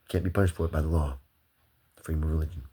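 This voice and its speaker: A man's voice: very deep voice, mellow, breathy edge. A man talking to the camera in a rambling, swearing monologue that jumps between topics.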